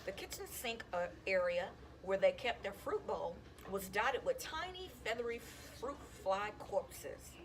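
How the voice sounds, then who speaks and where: Female storyteller for a kids' chapter book, lively varied intonation, slight background noise. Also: medium pitch, unhurried, hardly any pauses. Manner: animated, acts out dialogue. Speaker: school counselor reading aloud to students. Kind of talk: expressive children's audiobook read-aloud.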